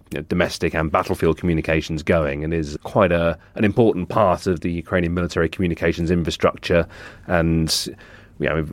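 Low-voiced man explaining the news. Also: no echo. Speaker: guest senior technology reporter. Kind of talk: explanatory news podcast analysis.

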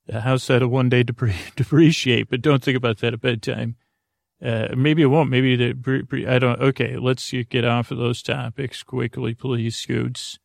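A man's voice: medium pitch, steady tone, normal speed, gravelly, no room sound, studio quality. A man hosting a sleep podcast, rambling through a meandering bedtime monologue with many restarts and self-interruptions.

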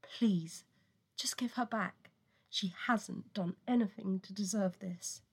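An actress playing the distraught, pleading mother of a kidnapped teenager in an audio drama, her voice husky, breathy and strained. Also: mid-range voice, takes it slowly, heavily acted.

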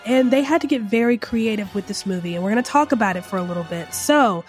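A woman's voice: mid-range voice, lively intonation, quick pace, faint music behind. A woman hosting a film podcast, stuffed up from a cold, talking casually and rambling with lots of fillers. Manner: chatty and rambling, many fillers. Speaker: podcast host, film reviewer.

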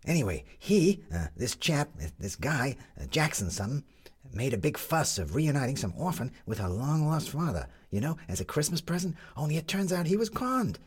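Man voicing a young gentleman, hesitant, fussy, raised voice, husky. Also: on the high side, big pitch swings, normal speed, voice pushed up. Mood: fretful. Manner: hesitant, restarts with fillers.

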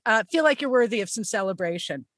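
A woman's voice: mid-range voice, lively intonation, fast, dry recording, studio quality. A woman hosting a radio interview, introducing her guest with effusive praise. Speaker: radio show host. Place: radio studio. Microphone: studio mic.

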